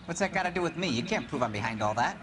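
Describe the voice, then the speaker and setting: An actor playing a defiant, bitter 1940s gas fitter in a video game, gruff and mouthy. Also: male voice, a little high, animated, rapid-fire, background noise, natural voice. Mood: defensive. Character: defensive, bitter 1940s working-class male gas fitter. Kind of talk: dramatic video game acting.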